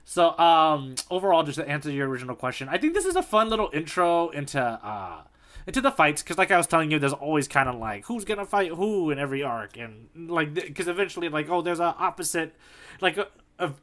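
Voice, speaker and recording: A man's voice: on the high side, expressive, a little fast, a bit bright. A man co-hosting a podcast in casual conversation, talking through a story and acting out bits of it as he goes. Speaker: podcast co-host. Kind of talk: casual chat, manga discussion.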